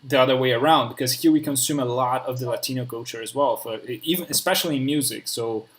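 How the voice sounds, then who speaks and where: A man's voice: medium pitch, fast talker, noisy background. Man, Spanish accent, non-native English, mid-range voice, casual host, searching for words. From Spain. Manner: searching for words, restarts often. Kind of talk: casual conversation.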